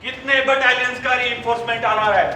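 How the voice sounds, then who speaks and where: A man's voice: very high-pitched, little pitch movement, fairly quick. A man playing a menacing, commanding army interrogator who threatens a prisoner in a stage play. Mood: threatening. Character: menacing male enemy military interrogator barking orders at a prisoner. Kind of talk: amateur stage play acting in Hindi. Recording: distant echoey stage recording, clear background noise.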